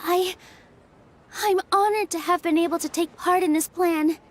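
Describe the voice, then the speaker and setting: Bashful young dancer, very high and polite, game scene. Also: female voice, steady tone, moderate pace, a little hiss or hum. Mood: shy. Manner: hesitant and polite. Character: shy, earnest young female dancer. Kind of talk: video game dialogue.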